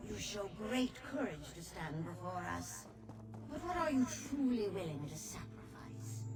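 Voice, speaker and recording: An actress voicing a grave, ceremonial high priestess of a warrior cult in a fantasy game. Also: female voice. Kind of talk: scripted fantasy game acting.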